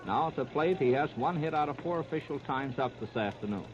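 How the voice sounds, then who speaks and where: A man's voice: medium pitch, lively intonation, a little fast. A man calling baseball play-by-play for 1930s radio, narrating in clipped, present-tense phrases. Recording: scratchy, noisy, muffled 1930s radio recording.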